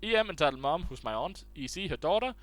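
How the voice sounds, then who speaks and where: A man's voice: fairly high pitch, lively intonation, quick pace, fairly bright tone. A man reading online posts aloud in a casual way, stumbling and restarting words as he goes.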